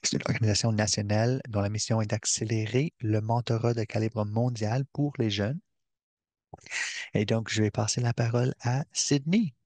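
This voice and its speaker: A man hosting a webinar, introducing the session and its speakers in a measured way with occasional hesitations over a video call. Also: fairly low pitch, fairly even intonation, darkish tone, no room sound. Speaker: webinar host.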